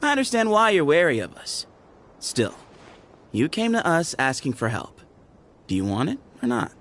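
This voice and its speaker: A man's voice: on the high side, huge pitch swings, fairly bright tone, heavily acted. An actor playing a sly, teasing young man who leads a secret group in a game, speaking in a playful, mocking way. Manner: playful, mocking, unhurried drawl. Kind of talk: game cutscene voice acting.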